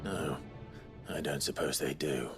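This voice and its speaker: An actor playing a gruff, brooding warrior leader in a video game, terse and grave. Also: male voice, very deep voice, fairly even intonation, measured pace, big-room sound, music in the background. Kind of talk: dramatic video game acting.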